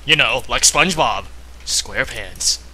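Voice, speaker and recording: A man's voice: medium pitch, animated, a little slow, a loud crowd, poor audio. A young man making crude, teasing jokes as casual commentary on a friend's reading. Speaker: heckling friend of the reader.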